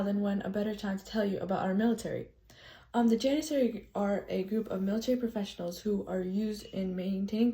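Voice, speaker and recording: A young woman giving a scripted history presentation in character as a tour guide, polite and a little halting. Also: medium pitch, dark tone. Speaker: student presenter playing a loyal Janissary tour guide.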